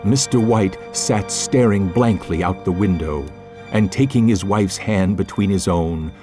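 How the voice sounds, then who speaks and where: Deep, slow male narrator. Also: music in the background. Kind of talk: scripted literary narration, measured.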